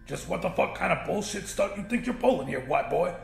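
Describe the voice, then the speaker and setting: Narrator doing a loud, furious big slangy cook. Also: male voice, high-pitched, lively intonation, brisk, vocal fry, reverberant, soft background music, pitched above the performer's own voice, exaggerated voice. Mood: furious, scornful. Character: big, angry, foul-mouthed older male slave cook.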